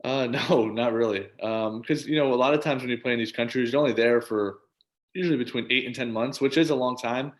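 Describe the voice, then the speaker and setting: A man's voice: mid-range voice, brisk. A man in his twenties chatting casually as an interview guest, informal and agreeable. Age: 20s. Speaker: interview guest, professional basketball player and coach. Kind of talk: casual chat.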